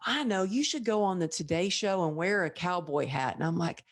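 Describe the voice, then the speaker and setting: A woman from Texas hosting a podcast, telling stories in a lively way and acting out the lines she quotes. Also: lowish voice, a little fast. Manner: animated storyteller, acts out quoted dialogue. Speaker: podcast host. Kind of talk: casual interview chat.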